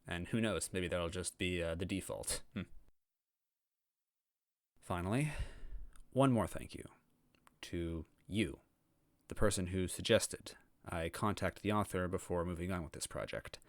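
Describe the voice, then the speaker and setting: A man's voice: low-pitched, unhurried, long pauses, no echo. A man talking casually and reflectively to his viewers as the host of a story-reading channel, with music behind him. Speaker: fan fiction reader and channel host. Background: background music.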